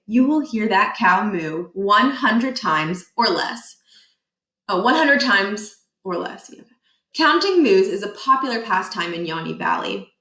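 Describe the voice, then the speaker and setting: A woman reading a children's book aloud expressively, with deliberate emphasis and a playful deadpan touch. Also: mid-range voice. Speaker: read-aloud storyteller. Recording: echoey room.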